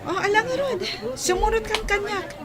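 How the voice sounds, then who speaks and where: A woman's voice: very high voice, moderate pace. An actress with a Filipino accent playing a friendly, insistent young woman customer in a radio drama. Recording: busy outdoor crowd noise behind.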